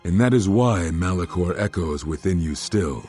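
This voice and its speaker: An actor playing a brooding, grave Jedi master in a video game, with a weighty, ominous delivery. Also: male voice, sits low, animated, dark tone, soft background music. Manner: slow-burning, ominous, weighty. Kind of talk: dramatic video game dialogue.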